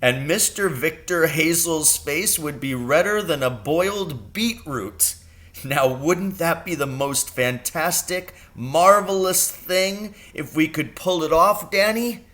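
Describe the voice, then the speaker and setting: A man voicing a scheming, excitable father from a children's storybook, with a theatrical, intense delivery. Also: fairly high pitch, slow, pitched above the performer's own voice. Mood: gleeful, grand. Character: scheming, excitable father, conspiratorial poacher with a grudge. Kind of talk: children's audiobook character reading.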